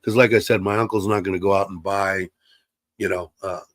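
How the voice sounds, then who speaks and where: A man's voice: sits low, steady tone, a little fast, fairly bright tone, no room sound, clean studio sound. A man hosting a casual livestream from his computer, chatty and rambling with many fillers, sounding slightly congested. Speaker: livestream host. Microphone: computer mic.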